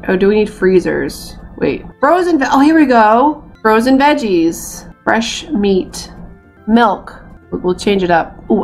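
A young woman with a Boston accent talks through a management game into a headset, muttering to herself and venting in a chatty, exasperated way. Faint game music plays underneath her voice. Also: mid-range voice. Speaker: streamer. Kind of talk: casual gameplay commentary. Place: small room indoors.

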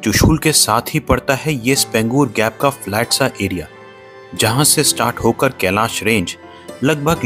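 A man with an Indian accent narrating a road-trip travel video in scripted voice-over, moving between Hindi and English. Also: mid-range voice. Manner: mixes Hindi and English, steady storytelling. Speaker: travel vlogger.

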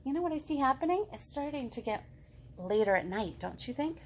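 High-pitched, animated woman reading to children. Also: a little fast. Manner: expressive, questioning, drawing young listeners in. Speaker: children's librarian and storytime host. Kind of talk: animated picture-book read-aloud for young children. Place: living room at home.

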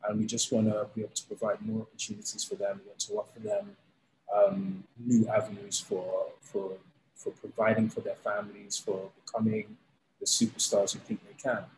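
Man in his thirties, lowish voice, easygoing storyteller, faint background hiss; video call guest. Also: moderate pace, low-quality recording. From England. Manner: relaxed, animated storytelling with fillers. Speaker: founder of a fighting league, guest. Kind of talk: casual interview. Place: indoors, plain room. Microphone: studio mic on arm, video call.

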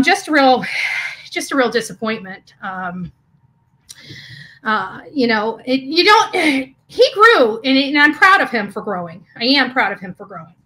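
A woman in her forties giving a halting, indignant personal vlog rant, repeating words for emphasis, recorded indoors in a small room. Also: sits high, bright, forceful tone, poor audio. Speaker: YouTuber. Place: small hotel or bedroom, indoors.

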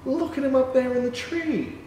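A young man reading a picture book aloud to children as a storytime presenter, in an animated, exclaiming manner. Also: extremely high pitch, moderate pace. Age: young adult. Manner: animated, exclaiming, with excited gasps and asides. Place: indoor room with painted backdrop. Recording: roomy, distant mic, background noise.

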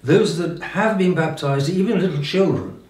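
A man's voice: a little high, darkish tone. Elderly male preacher in an echoey room. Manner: halting, repeats and restarts phrases, emphatic pauses. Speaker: bishop preaching. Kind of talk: informal sermon. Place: indoor room with religious paintings. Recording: roomy echo, distant microphone.

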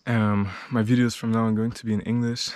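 A man's voice: on the low side, level tone, fairly quick, darkish tone. Breathless young German-accented man vlogging. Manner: laid-back, rambling, lots of "like" and swearing. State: out of breath from climbing steps. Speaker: travel vlogger. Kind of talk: casual vlog talk while hiking. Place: outdoors on a rocky mountain trail. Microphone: handheld camera at arm's length. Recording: outdoor camera audio with clear background noise.

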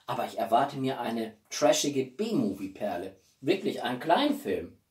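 A echoey, slightly distant recording of a man in his forties at mid pitch. He hosts a casual video chat from a small room, talking to viewers with expressive emphasis and pauses for effect. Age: 40s. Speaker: YouTube host. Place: small living room indoors. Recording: roomy echo, distant mic.

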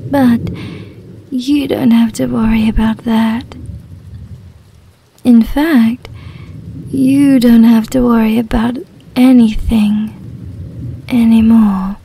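A woman acting as a smug, seductive female vampire in an ASMR roleplay, very slow and coaxing. Her voice is soft, breathy and darkly mellow, often sinking to a whisper. Faint rain and thunder sit behind her. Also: fairly high pitch, slight background noise. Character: seductive, commanding female vampire, smugly hypnotic.

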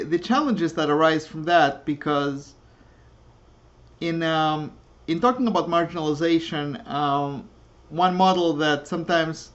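A woman who grew up speaking Russian, hosting an interview over a video call in a measured, reflective way. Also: low-pitched. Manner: measured, reflective, thinks in analogies. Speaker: host. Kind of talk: interview conversation. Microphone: webcam on a video call. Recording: dull lo-fi video call audio.

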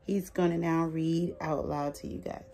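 A woman's voice: deep voice, steady tone, moderate pace, mellow. A woman in her thirties talking casually and encouragingly on a phone video at home. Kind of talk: casual home video talk to camera and child. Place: small room at home, indoors. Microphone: phone at arm's length. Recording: faint background noise, home phone video.

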